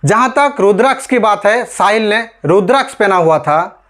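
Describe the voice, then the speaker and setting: A man from India with a high-pitched voice delivers scripted news commentary for an online audience. He speaks emphatically, leaning on rhetorical questions and addressing listeners directly as friends. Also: animated, normal speed, a bit bright.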